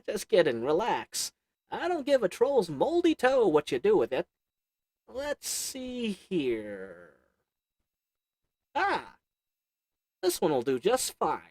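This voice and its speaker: Voice actor as a cunning goblin shopkeeper, haggling patter, lively up-and-down delivery. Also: medium pitch, slow, long pauses, dry recording, clean studio sound, full character voice. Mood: sly. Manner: wheedling, sarcastic salesman patter; chuckles between lines. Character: sly haggling goblin potion merchant. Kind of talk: audio roleplay acting to the listener.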